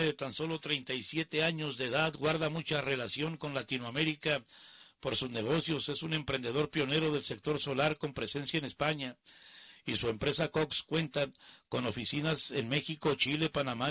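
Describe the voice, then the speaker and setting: A man's voice: fairly high pitch, moderate pace, bright, forceful tone, no room sound. A man reading news items aloud and breaking in with mocking, opinionated asides. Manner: mocking, opinionated asides between read passages. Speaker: news commentator. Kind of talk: reading news with casual commentary.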